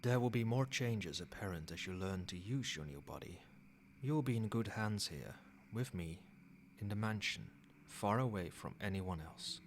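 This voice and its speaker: A man playing a possessive, controlling old vampire in a soft-spoken, measured ASMR roleplay. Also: on the low side, lively intonation, a little hiss or hum. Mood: soft, courteous. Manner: measured, formal, commanding.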